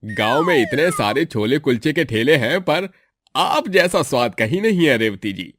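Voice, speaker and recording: Man playing an effusive customer, medium pitch. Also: sing-song, normal speed, a bit bright, a little hiss or hum. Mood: delighted. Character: enthusiastic food-loving male customer.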